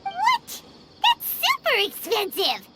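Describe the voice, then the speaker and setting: A woman voicing a tiny, excitable, childlike female fairy companion in a cartoonish game style, full of exclamations. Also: very high-pitched, takes it slowly, heavy background noise, heavily acted. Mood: indignant, wary. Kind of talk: cartoonish game character acting.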